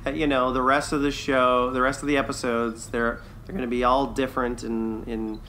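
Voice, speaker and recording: A man with a bright, forward voice at a middle pitch chats as a podcast co-host. He is tentative and agreeable, feeling his way through his opinions. There is some noise in the recording. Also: little pitch movement, normal speed. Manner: hesitant, agreeable, conciliatory. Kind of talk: casual review discussion.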